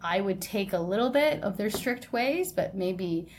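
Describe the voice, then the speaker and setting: A woman's voice: mid-range voice, animated, a little slow, slight background noise. A young woman, co-hosting a podcast in casual, conversational chat, often checking in with the listener. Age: young adult. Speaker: podcast co-host.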